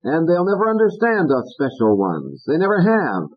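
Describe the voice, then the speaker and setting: A man voicing a foul-mouthed, bullying male ventriloquist dummy in an audiobook, shrill and sneering. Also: huge pitch swings, normal speed, muffled recording. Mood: ranting. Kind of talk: audiobook character voice.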